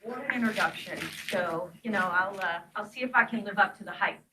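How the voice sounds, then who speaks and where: A woman's voice: mid-range voice, brisk, far from the mic, very noisy. A middle-aged woman from East Texas giving a grand rounds lecture as a vascular surgeon, chatty and storytelling, with self-deprecating asides. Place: lecture hall.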